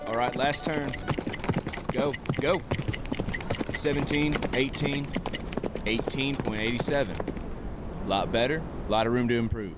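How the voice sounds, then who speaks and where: An actor with a rural Texas accent playing a gruff, wry, injured uncle back in his hometown, in naturalistic radio drama. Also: medium pitch, measured pace, pauses often, very noisy, lightly acted. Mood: gruff, encouraging. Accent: Southern US, rural Texas. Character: world-weary injured rodeo man returning home, gruff, wry uncle.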